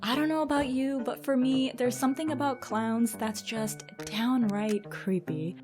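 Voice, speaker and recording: Higher-voiced woman narrator over music. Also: steady tone, measured pace. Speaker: host and narrator of a countdown video. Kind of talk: scripted narration about cartoons. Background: music behind the voice.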